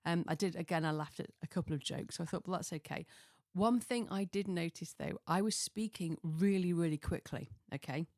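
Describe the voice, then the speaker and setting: A slightly hoarse woman chatting casually and solo as a podcast host, rambling and self-deprecating, often wandering off on tangents. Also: lowish voice, fairly quick, hardly any pauses, darkish tone, dry recording, studio quality. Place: small home office.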